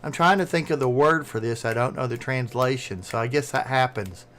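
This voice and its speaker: Male streamer, Southern US accent, fast and rambling, casual conversational delivery, middle-register voice. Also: medium pitch, a bit bright, a little hiss or hum. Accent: Southern American. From southern United States. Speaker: live streamer. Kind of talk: casual chat.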